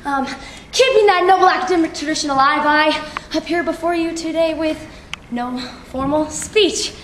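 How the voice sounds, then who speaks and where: A young woman playing a flustered, insecure student making a halting, self-conscious speech on stage. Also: high voice, a bit bright. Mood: nervous, halting. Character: flustered, insecure schoolgirl giving an unprepared speech. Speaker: student actor. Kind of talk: theatrical stage monologue. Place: theatre workshop performance space. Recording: echoey room from far off, faint noise.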